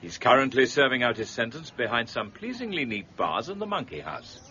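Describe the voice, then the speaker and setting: A man plays a cool gentleman detective in a radio play. His speech is precise and dryly formal, with lively swings at a middling pitch. The sound is a little dull with faint noise. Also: fairly quick, lightly acted. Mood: coolly assured. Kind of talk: radio drama acting.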